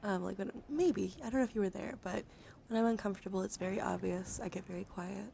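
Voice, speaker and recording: A woman speaks softly and affectionately as an ASMR streamer, slipping often between a hushed voice and whispers. Her mid-pitched voice has plenty of fry and a slight just-woke-up strain. She sounds close to the microphone, with noticeable noise behind her. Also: quick pace. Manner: gentle, soothing, affectionate. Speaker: ASMR livestream host. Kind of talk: soft ASMR, chatting to live viewers.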